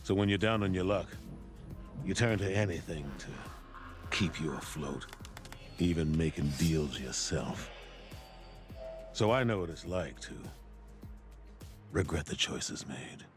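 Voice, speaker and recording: An actor playing a world-weary, grumpy demon gambler in an animated series, with a gruff, jaded manner. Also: male voice, deep voice, measured pace, pauses often, over music. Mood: weary, regretful.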